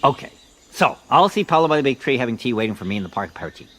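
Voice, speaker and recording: A man playing a bumbling, persistent detective in a comic radio drama, haltingly repeating words as he puzzles them out. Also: medium pitch, lively intonation, a bit bright. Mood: puzzled, fumbling. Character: bumbling, persistent American detective struggling with Portuguese.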